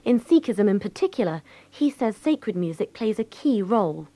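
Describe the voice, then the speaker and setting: A woman's voice: mid-range voice, sing-song, normal speed, warm tone, dry recording. A woman presenting a radio documentary, reading scripted narration.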